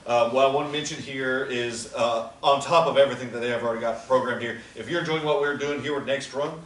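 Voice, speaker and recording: A man's voice: medium pitch, fairly even intonation, fast talker, a bit bright. Man making announcements in a roomy hall. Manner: chatty, teasing, with laughs. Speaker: theater company organizer. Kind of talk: casual announcements to an audience. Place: theater or event room. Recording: roomy, from far off, faint noise.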